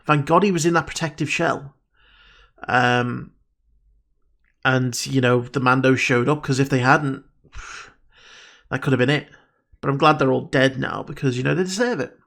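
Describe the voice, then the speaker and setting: A man's voice: medium pitch, moderate pace, vocal fry, long pauses, a bit bright. A man with a stuffed-up nose giving casual reaction commentary as a video host, musing and rambling with frequent ums.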